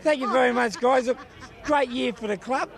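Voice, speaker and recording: A man's voice: very high voice, steady tone, moderate pace, vocal fry. A man, a club bowler, thanking people in a jokey, boastful way outdoors, far from the mic.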